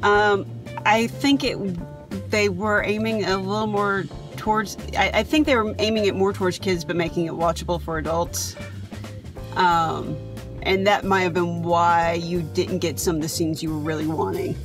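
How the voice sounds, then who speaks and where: A woman with a slightly low voice chats casually as co-host of a movie review, mostly answering in short agreeing replies. Music plays clearly in the background. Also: steady tone, moderate pace. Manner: brief agreeing replies, laughs along.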